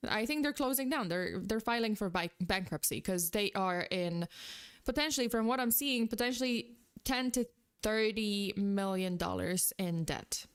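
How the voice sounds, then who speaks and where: A woman's voice: mid-range voice, animated, normal speed, clean tone. A woman with a Polish accent giving casual, rambling commentary as a streamer, with lots of fillers and laughter. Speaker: VTuber commentator.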